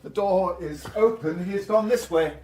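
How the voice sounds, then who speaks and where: Guy acting nobleman, highish voice, anxious and deferential, roomy echo, radio play. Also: normal speed, a little mellow, lightly acted. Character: king's attendant lord, deferential and anxious. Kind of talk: classical Shakespearean radio drama acting. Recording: roomy echo, faint noise.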